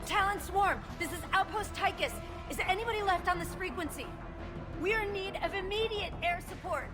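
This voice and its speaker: A woman's voice: very high-pitched, normal speed. A woman playing a battle-hardened, defiant army lieutenant in a video game, urgent and commanding. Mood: strained, urgent. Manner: urgent, barking orders. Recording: music and gunfire behind the voice.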